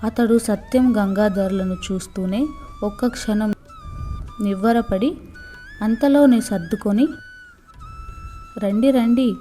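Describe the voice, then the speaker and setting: Woman narrating a story, mellow voice. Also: medium pitch, measured pace, pauses often, faint music behind. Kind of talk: scripted narration of a children's moral story.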